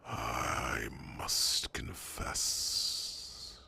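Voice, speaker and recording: A man voicing a wise, grave, fatherly old dragon in a slow, deep, gravelly half-whisper for an ASMR fantasy roleplay. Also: sharp tone, a little hiss or hum, heavily acted. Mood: grave, pensive. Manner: slow, deliberate, murmuring pauses.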